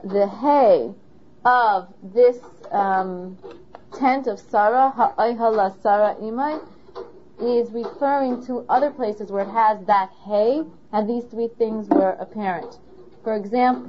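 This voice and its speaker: A woman's voice: a little high, slight background noise. Woman teaching Torah, full of questions. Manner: asks the listener questions, patient. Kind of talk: explaining a Torah lesson. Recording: dull lo-fi recording.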